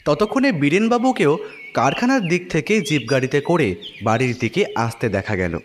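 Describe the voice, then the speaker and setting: Animated, higher-pitched male story narrator. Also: moderate pace, big-room sound, a little hiss or hum. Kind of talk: dramatised first-person scripted narration.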